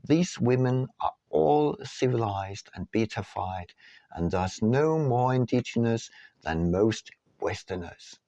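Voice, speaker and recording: A man reading his own book aloud as scripted audiobook narration, in measured, deliberate phrases. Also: mid-range voice, lively intonation, dark tone, no room sound, low-quality recording.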